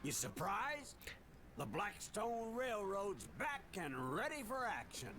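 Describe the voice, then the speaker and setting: An actor playing a plucky, folksy old railroad engineer in a video game cutscene, with a gravelly voice. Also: male voice, high voice, very animated, takes it slowly, bright, forceful tone, a little hiss or hum, heavily acted. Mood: boastful, excited.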